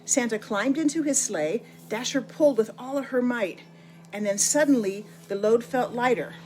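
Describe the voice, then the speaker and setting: A woman narrating a children's picture book in an expressive, deliberate storytelling manner with dramatic pauses. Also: on the high side, slight background noise, poor audio. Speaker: storybook reader.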